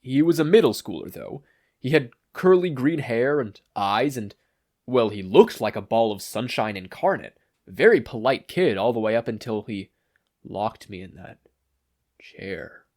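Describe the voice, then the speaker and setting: A man acts out a traumatized older hero from a story. He speaks in dramatic, halting bursts, shaky and full of wounded dread. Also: mid-range voice, lively intonation, darkish tone. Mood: halting, uneasy.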